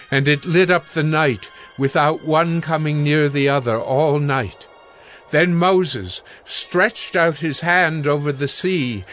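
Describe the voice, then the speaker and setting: A man narrating scripture in a scripted, dramatic style, solemn and measured. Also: mid-range voice, noisy background.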